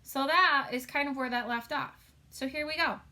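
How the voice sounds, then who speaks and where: A woman's voice: high-pitched, normal speed, sharp tone. A woman teacher giving a casual read-aloud, chatty and explanatory as she addresses young pupils. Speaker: elementary school teacher.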